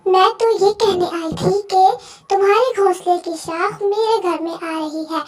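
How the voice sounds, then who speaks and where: Woman voicing a bossy, very high-pitched lady crow. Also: steady tone, measured pace. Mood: bossy, threatening. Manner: scolding, threatening. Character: bossy, nagging, sharp-tongued female crow neighbour. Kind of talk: children's moral story voice acting.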